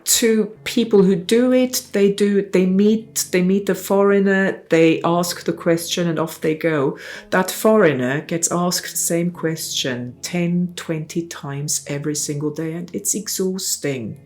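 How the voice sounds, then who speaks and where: A woman in her forties from Italy, speaking English with an Italian accent in a reflective, halting personal monologue with many pauses and restarts. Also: lowish voice, darkish tone, soft background music. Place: lives in Brighton.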